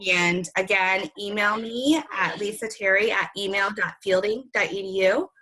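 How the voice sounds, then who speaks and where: A woman's voice: mid-range voice, steady tone, moderate pace, sharp tone, rough recording. A young woman with a worn, recovering voice, presenting an online conference session with many ums and friendly asides. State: voice worn from recent illness. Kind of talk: webinar presentation.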